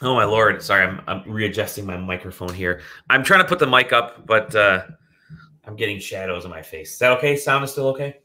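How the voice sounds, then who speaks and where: A man hosting a casual video game podcast from home, sick and a bit nasal, joking and clearing his throat often. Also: on the low side, animated, a little fast, sharp tone. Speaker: podcast host. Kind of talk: casual chat. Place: home room.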